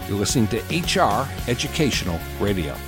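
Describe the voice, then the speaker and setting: A man's voice: on the low side, very animated, brisk, over music. A man hosting a radio talk show, reading a scripted promotional intro and then affirming his guest as he interviews him.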